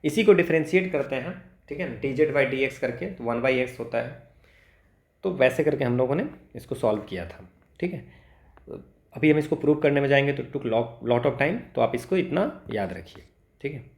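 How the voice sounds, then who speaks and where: A man's voice: mid-range voice, lively intonation, normal speed, vocal fry, darkish tone. An Indian man teaching maths informally, explaining step by step, switching between Hindi and English with frequent check-in tags. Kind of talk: informal exam lesson, explaining worked equations step by step. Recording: echoey, roomy sound.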